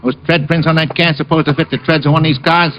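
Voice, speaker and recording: An actor playing a nervous, slangy garage owner in an old radio crime drama. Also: male voice, on the high side, steady tone, quick pace, lightly acted. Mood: curious. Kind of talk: 1940s-50s radio drama acting. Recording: muffled, faintly noisy old radio.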